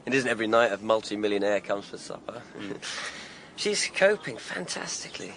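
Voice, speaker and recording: Friendly chatty stepdad, voice bounces all over. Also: male voice, on the low side, very animated, moderate pace, fairly bright tone, slight background noise. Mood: breezy. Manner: chatty, eager to please.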